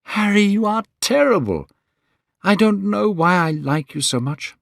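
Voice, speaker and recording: A man's voice: sits high, lively intonation, measured pace, gravelly, dry recording, clean studio sound, voice pushed up, full character voice. A man doing an ardent young lover. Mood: excited, gushing. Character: young man, eager, romantic, lovestruck.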